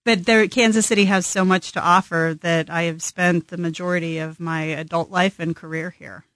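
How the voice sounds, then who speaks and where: Woman, American accent, slightly low voice, conversational interview answers, very little room sound. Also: fairly even intonation, moderate pace, a bit bright, dry recording. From New Mexico, United States. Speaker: interview guest, executive director.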